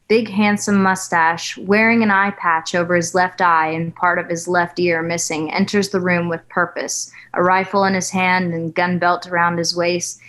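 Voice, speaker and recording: Woman reading stage directions, low voice. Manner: even, measured reading of directions. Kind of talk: screenplay table read narration.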